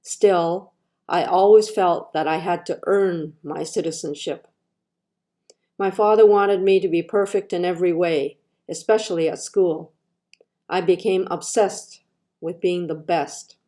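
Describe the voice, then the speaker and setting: A woman's voice: on the low side, steady tone, a little slow, dark tone. Older woman reading her personal essay. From Canada. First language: Japanese. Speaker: artist.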